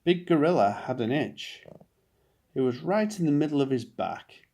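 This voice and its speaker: Creaky-voiced dad reading a children's story. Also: male voice, a little high, expressive, normal speed, dark tone. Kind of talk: children's picture-book narration.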